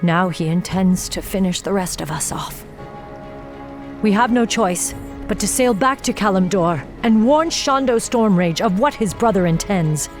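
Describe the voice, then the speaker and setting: An actress playing a stern, bitter night elf warden commander in a fantasy game, speaking formally and with intensity. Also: female voice, medium pitch, lively intonation, unhurried, faint music behind. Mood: grim, urgent. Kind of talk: fantasy game cutscene acting.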